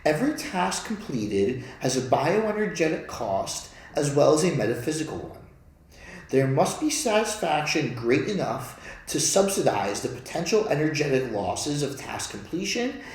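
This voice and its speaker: Male author-narrator, deliberate formal read of a manual, animated pitch movement, strongly reverberant room. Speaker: author reading own manual aloud. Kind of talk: scripted read-aloud narration.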